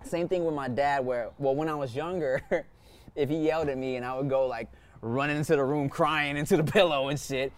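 A man in casual, relaxed podcast conversation, talking about his parents and laughing easily. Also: medium pitch, a little fast. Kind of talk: casual chat.

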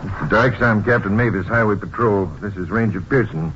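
An actor playing a folksy, dutiful male highway patrol captain, informal and plain-spoken, in a radio drama. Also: brisk, a bit bright, lightly acted. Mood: concerned, matter-of-fact. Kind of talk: 1950s western radio drama acting. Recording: muffled, hissy 1950s radio recording.

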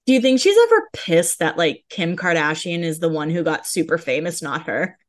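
A woman's voice: lowish voice, expressive, normal speed. A woman co-hosting a podcast, chatting and reading out celebrity blind items in a casual way. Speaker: podcast co-host. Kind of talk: casual celebrity gossip chat.

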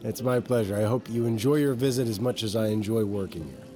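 A man's voice: lowish voice, fast talker, natural voice. A middle-aged man playing a friendly, slightly awkward father and security chief in a naturalistic audio drama. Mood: warm, jovial. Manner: warm, casual, hesitant.

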